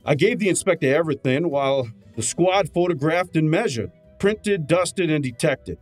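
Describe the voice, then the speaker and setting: A man's voice: a little high, animated, normal speed, darkish tone, faint music behind. A man playing a brash, wisecracking detective's assistant and narrator in a snappy old-time radio drama style. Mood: wry narrating. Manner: snappy, hard-boiled, wisecracking.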